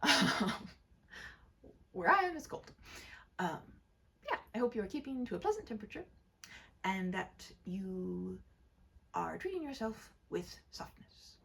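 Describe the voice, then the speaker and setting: A woman hosting a read-aloud, chatting casually in a gentle, rambling, expressive way. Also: medium pitch, a little slow, creaky, pauses often, breathy edge. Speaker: storyteller and channel host. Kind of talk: casual chatty commentary and story narration.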